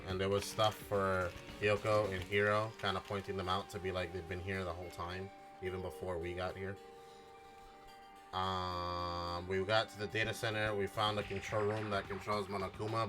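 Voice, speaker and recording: Casting: male streamer, casual and joking, lowish pitch, music playing clearly behind him. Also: little pitch movement, normal speed. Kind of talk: casual game stream chat.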